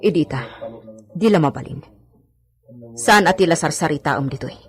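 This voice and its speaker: A woman's voice: sits low, normal speed, natural voice. An actress with a Filipino accent playing an anxious, motherly household servant in a radio drama, fretful and cautioning. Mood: hushed, cautioning.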